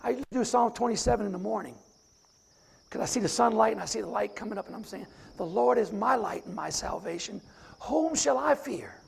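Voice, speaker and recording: A middle-aged man with a raspy voice, a deacon preaching a sermon and reciting scripture from memory. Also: high voice, lively intonation, brisk, slight background noise.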